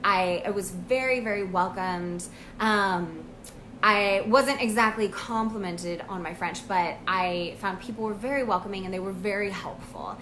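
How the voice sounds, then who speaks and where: An American woman, a language coach, talking about her own experience in a casual, earnest vlog monologue with frequent pauses and ums. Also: medium pitch, a bit bright, a little hiss or hum. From United States. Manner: earnest, reflective, with pauses and fillers.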